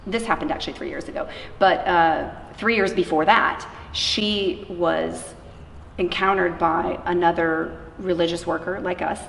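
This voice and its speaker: A woman's voice: on the low side, vocal fry. A woman being interviewed, telling real accounts in an informal, conversational way and voicing bits of dialogue as she goes. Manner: informal, acts out quoted dialogue. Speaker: interviewee, anti-trafficking worker. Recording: echoey room from far off, faint noise.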